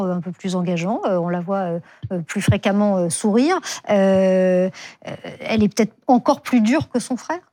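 A woman's voice: mid-range voice, a little mellow. A woman hosting a live panel discussion on the news.

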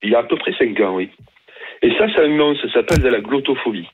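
A man's voice: mid-range voice, animated, fast talker, breathy edge. Indignant man on a phone line. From Arles, southern France. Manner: emphatic, indignant. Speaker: caller. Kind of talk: phone-in call on radio.

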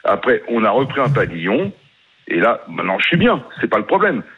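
A man's voice: medium pitch, big pitch swings, brisk, husky, lo-fi sound. A man in his sixties with a Parisian accent, calling in to a radio show and complaining emphatically, talking over the host. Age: 60s. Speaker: caller. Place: home near Paris.

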